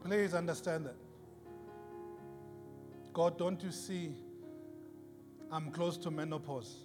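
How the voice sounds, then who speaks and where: A man's voice: on the high side, fairly even intonation, takes it slowly. A man preaching an impassioned sermon in an emphatic, repetitive, rhetorical style. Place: large reverberant indoor worship space. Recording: hall reverb with music behind.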